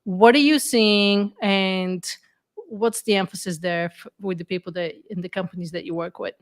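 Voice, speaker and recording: Woman host, warm conversational interviewer, mid-range voice. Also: medium pitch, fairly even intonation, moderate pace. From Bulgaria. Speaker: podcast host.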